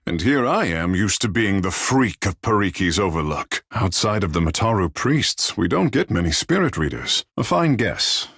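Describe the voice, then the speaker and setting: A man playing a vain, flirtatious, sea-blessed godlike artist in a video game, husky and theatrical, with florid, playful delivery. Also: low voice, very animated, normal speed, hardly any pauses, fairly bright tone, no echo, studio quality, exaggerated voice. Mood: flirtatious, teasing. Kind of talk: video game character dialogue.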